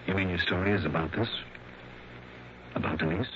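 An actor playing a sober, sympathetic investigator, narrating a 1960s radio detective drama in a measured, deliberate way. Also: male voice, low-pitched, fairly bright tone, a little hiss or hum. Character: sober, sympathetic male investigator recounting his case. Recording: dull old radio broadcast recording.

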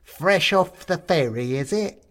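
A man voicing a cheeky little male skeleton mariachi model with a Cockney accent, loud, chirpy and nosy. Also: high-pitched, expressive, slow, voice pushed up, heavily acted.

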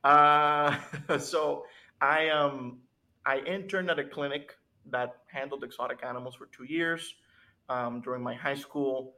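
A man from Cuba whose first language is Spanish, co-hosting a podcast and telling his story casually, halting and repeating words. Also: medium pitch, steady tone, bright, forceful tone. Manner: halting, repeats words, chuckles now and then.

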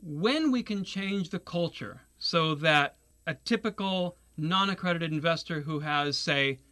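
A man with a bright, fairly high voice answers interview questions. He explains his ideas in an earnest, measured way, now and then restarting a phrase mid-thought. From Taiwan. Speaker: guest, securities lawyer. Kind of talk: radio interview.

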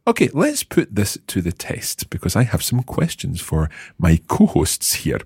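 A man with a low, slightly husky voice leads a language lesson. He talks with a lively rise and fall, repeating phrases slowly so they sink in. The sound is dry and close. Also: no room sound. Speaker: language teacher and host. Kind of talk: explaining and quizzing co-hosts.

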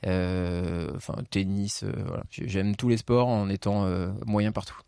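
A man's voice: deep voice, steady tone, unhurried, warm tone, clean tone, no echo, studio quality. A man in his forties from southeastern France, a podcast guest talking in a hesitant, filler-heavy way. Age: 40s. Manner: hesitant, many fillers, laughs often. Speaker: podcast guest, investment fund head. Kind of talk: casual interview.

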